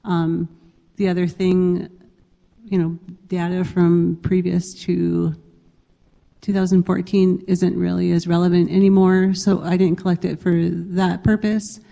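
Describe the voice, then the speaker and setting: Woman, panel speaker, dark mellow tone, conversational with fillers, hall reverb. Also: fairly low pitch, little pitch movement, measured pace, creaky. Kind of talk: panel discussion. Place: conference hall.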